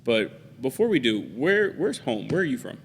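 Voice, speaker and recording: A man hosting an interview podcast, upbeat and quick to laugh, sometimes stumbling as he frames his questions. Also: mid-range voice, big pitch swings, slight background noise. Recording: roomy reverb.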